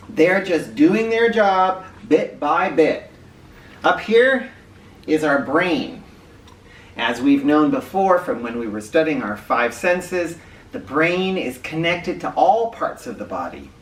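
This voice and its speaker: A man hosting an educational read-aloud for children, speaking directly to them with clear emphasis and questions. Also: fairly high pitch. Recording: roomy, distant mic with faint noise.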